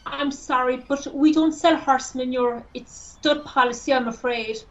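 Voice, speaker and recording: Woman acting a stable worker, very high voice, slow delivery, curt, firm, radio play. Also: fairly even intonation, dull audio. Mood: firm, unimpressed. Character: curt, unbudging woman working at racing stables.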